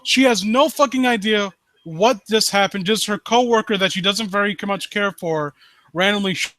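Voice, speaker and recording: A man hosting a live stream, reading and commenting on stories in a halting, rambling way and often rephrasing himself. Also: high-pitched, sharp tone. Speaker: live stream host reading and critiquing amateur horror stories. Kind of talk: story read-aloud and casual commentary.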